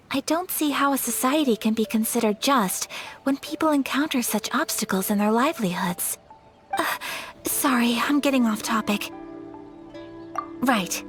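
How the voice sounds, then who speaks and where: Courteous, earnest young noble lady played by a woman, measured and soft-spoken, light music underneath. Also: a little high, close to an everyday voice. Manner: formal, gentle, measured. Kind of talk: game voice acting.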